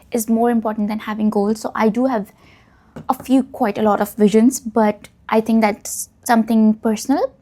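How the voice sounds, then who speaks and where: A woman's voice: a little high, a little mellow. A young woman whose first language is Urdu, chatting reflectively in a casual get-to-know-me vlog. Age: young adult. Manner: reflective, rambling, self-deprecating. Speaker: vlogger.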